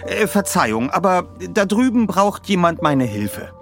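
Audio drama role: stressed, exasperated woman performed by a man, raised pitch, flustered delivery, faint music. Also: sing-song, unhurried. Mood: irritated, firm. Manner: flustered, hesitant interjections, sighing. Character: Julia Scott, stressed, irritated adult woman running a house sale.

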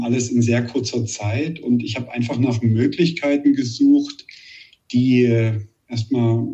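A man with a Saxon German accent and a slight lisp, a meditation teacher answering interview questions calmly and thoughtfully. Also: mid-range voice, recorded from a distance, muffled recording. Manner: calm, measured, searching for words.

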